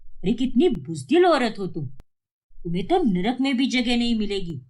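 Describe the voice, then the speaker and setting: A woman's voice: a little high, sing-song, normal speed, darkish tone. A woman voicing an indignant, heartbroken father in a cartoon, with an emphatic, scolding manner. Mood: outraged. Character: indignant, heartbroken father, adult man, accusing and forceful.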